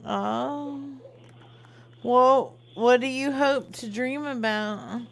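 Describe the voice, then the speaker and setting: A woman's voice: high voice, drawn-out words, gravelly. A woman hosting a prank call show, improvising casually in a crude, teasing, rambling way.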